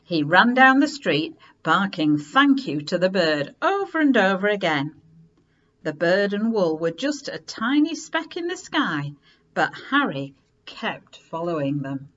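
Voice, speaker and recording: A woman reading a children's story aloud in an expressive, unhurried way with dramatic emphasis. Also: fairly low pitch, dull audio.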